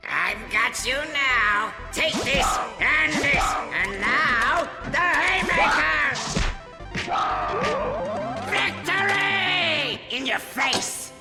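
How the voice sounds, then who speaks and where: A screechy robot villain, super high and cartoonish, yells a lot, slow and hammy, loud music behind. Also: male voice, animated, roomy sound, exaggerated voice. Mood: gloating. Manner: shrieking, gloating, melodramatic. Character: shrill theatrical male robot supervillain. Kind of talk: video game cutscene acting.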